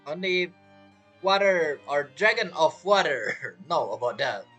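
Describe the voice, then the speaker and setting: A man plays through a game and reacts casually to its dialogue. His voice is bright and very lively in pitch, amused and halting, with faint music behind it. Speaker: gaming content creator playing through a story quest. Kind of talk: casual live game commentary.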